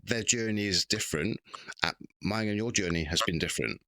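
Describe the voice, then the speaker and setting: A man hosting a casual podcast conversation, speaking in a halting way with pauses and repeated words. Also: on the low side, sharp tone, no room sound. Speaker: podcast host.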